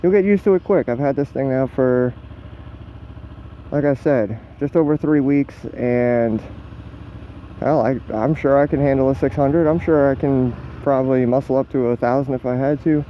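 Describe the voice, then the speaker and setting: A man's voice: mid-range voice, moderate pace. A man in his twenties with an American accent, chatting casually and unscripted as a motorcycle vlogger, in a rambling, self-deprecating, casually profane way. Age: 20s. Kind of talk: casual unscripted chat while riding. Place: outdoors, riding a motorcycle on the road. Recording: muffled helmet mic with motor vehicle noise. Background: engine and road noise.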